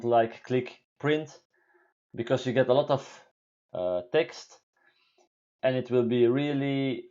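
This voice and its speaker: A man in his thirties from Belgium with a deep voice, answering viewer questions in halting English on a home live stream. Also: darkish tone, lo-fi sound. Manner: halting, many fillers and restarts, non-native English phrasing. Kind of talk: casual live Q&A talk. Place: small room indoors at home.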